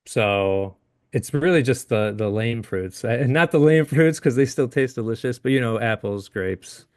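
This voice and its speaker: A man in his late thirties, a guest in a casual interview, talking in a halting way with frequent restarts and easy laughs. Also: mid-range voice, darkish tone, no echo, studio quality. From Upstate New York. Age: 30s.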